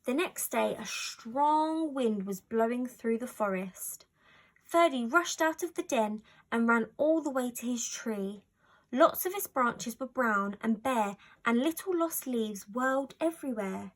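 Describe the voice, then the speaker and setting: High-voiced woman reading a storybook. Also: expressive, measured pace. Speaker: storybook reader. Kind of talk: expressive scripted children's story narration.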